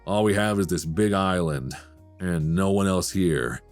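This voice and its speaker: A man's voice: low voice, a little slow, soft background music. A man hosting a solo podcast in a casual, conversational way, speaking with enthusiasm and emphasis. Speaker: podcast host.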